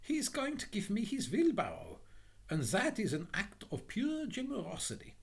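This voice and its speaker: Storybook innocent, played by a man: small, timid, deferential fellow, raised pitch, husky softness, eager to please. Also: wildly swooping pitch, moderate pace, exaggerated voice. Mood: hopeful. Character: timid, meek, eager-to-please little man, naively devoted. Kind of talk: theatrical storybook character voice.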